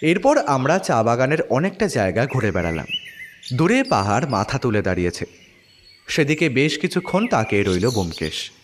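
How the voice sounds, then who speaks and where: Male first-person narrator for detective drama: highly expressive pitch movement, scripted delivery, light background noise with birds. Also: normal speed, in a large hall.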